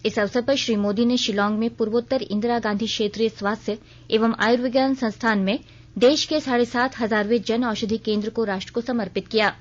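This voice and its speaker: A woman's voice: fairly high pitch, dull audio. A woman reading a scripted regional news bulletin on the radio in a formal news reader's style.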